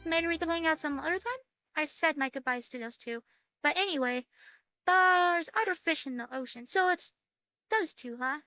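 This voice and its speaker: A woman doing casual gameplay commentary on a visual novel, reading its text aloud with a halting, stumbling delivery and some swearing. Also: high-pitched, sharp tone, no echo. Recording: music behind the voice. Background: music.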